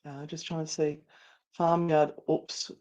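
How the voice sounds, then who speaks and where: A woman's voice: low-pitched, flat delivery, slow, darkish tone. An Australian woman co-hosting a live show in casual, easily sidetracked, giggly banter. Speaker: co-host of a paranormal live show.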